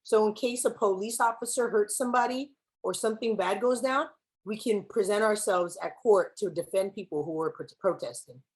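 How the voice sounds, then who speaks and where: Woman keynote speaker, young adult, lively, code-switching, questions the crowd, mid pitch. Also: normal speed, breathy edge, poor audio. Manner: lively, mixes English and Spanish, asks audience questions. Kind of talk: speech to students with spoken-word poem.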